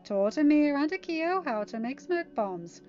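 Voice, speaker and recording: A woman's voice: high voice, lively intonation, unhurried, darkish tone, voice pushed up. A woman reading a story, voicing a cheeky, unrepentant teenage girl in a flippant, teasing manner. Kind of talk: amateur story reading with dialogue. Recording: dull lo-fi audio, music behind.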